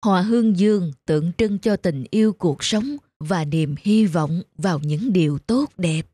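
A woman voicing a gentle, ailing old farm wife in a cartoon, tender and wistful. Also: lowish voice, a little slow, husky, dry recording. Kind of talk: cartoon dubbing.

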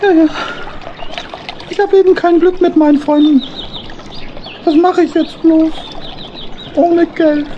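A woman's voice: extremely high pitch, steady tone, slow, long pauses, highs cut off, a little hiss or hum. A woman playing a small, naive male hedgehog in a children's audio play, earnest and politely formal. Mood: dejected. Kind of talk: children's audio drama acting.

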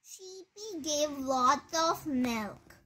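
A woman's voice: high-pitched, expressive, sharp tone, slight background noise. A woman narrating a moral story for children while playing it out with plush toys. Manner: slow, sing-song storybook reading. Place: indoors, at a bed with plush toys.

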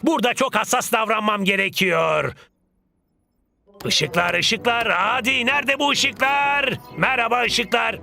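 A man's voice: very high-pitched, takes it slowly, gravelly, sharp tone, soft background music, full character voice. A man voicing a vain, preening cartoon football star with a pompous, melodramatic delivery. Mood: fussy determination. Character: vain, preening male star footballer, fussy and self-adoring. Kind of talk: animated children's cartoon dub.

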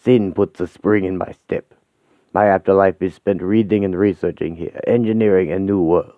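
A man's voice: low-pitched, steady tone, normal speed, no room sound, close to an everyday voice. A man voicing a suave, lecherous, centuries-old French aristocrat who speaks grandly. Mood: suave, relishing. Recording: tinny phone-like sound.

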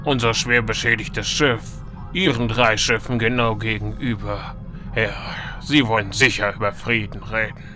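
A man's voice: on the low side, steady tone, moderate pace, pushed and bright. Man as stern space admiral over music. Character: older male space admiral, stern, sceptical, commanding.

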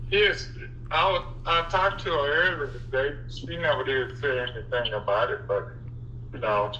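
A man answering questions on a phone call, giving plain, compliant and halting answers. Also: mid-range voice, bright, forceful tone. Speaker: prank call recipient. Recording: dull distant phone line, faint noise.

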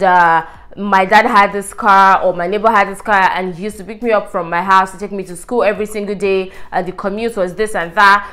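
A woman's voice: medium pitch, a bit bright. Chatty young Nigerian woman explaining an exam. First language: Yoruba. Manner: chatty, gestures a lot, frequent fillers. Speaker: vlogger giving exam tips. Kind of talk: casual explanatory vlog. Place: indoors in a bright apartment room by a window.

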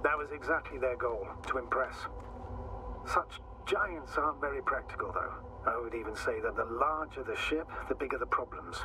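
A man's voice: mid-range voice, normal speed, noisy background, close to an everyday voice. A man voicing a pragmatic, sceptical astrogator in a video game, speaking in a dry, reserved way. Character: pragmatic, sceptical male astrogator, a mission commander. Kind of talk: video game radio dialogue.

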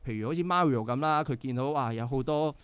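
A man's voice: medium pitch, fast talker, clean tone, dry recording. A young man with a Hong Kong Cantonese accent, a band member guest on a radio show, explaining earnestly with many filler sounds. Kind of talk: casual radio interview. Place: radio studio.

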